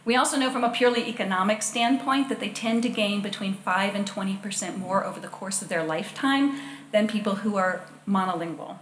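A woman's voice: medium pitch, fairly bright tone. Casting: middle-aged woman, friendly lecturer at a podium mic, roomy hall, faint hiss. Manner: friendly, measured speaking with fillers. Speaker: education researcher and presenter. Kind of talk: public lecture. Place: wood-panelled lecture hall. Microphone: podium microphone.

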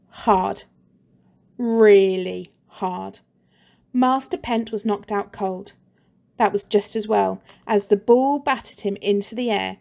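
A woman teacher reading a children's story aloud in a slow, expressive and playful way. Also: mid-range voice, gravelly.

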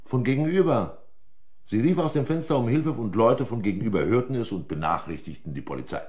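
A man acting a composed examining magistrate in a German crime radio play, formal, measured and condescending. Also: mid-range voice, lively intonation, a little mellow, close to an everyday voice. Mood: firm, accusing. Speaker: actor.

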